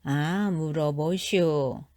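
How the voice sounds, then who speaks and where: An elderly village woman from a storybook, acted by a female reader, speaks very slowly and helpfully. Her words are drawn out and halting, in a close, dry recording. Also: low-pitched, dark tone, no echo. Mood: unhurried, helpful. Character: slow-speaking kindly old countrywoman giving directions. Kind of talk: storybook character voice.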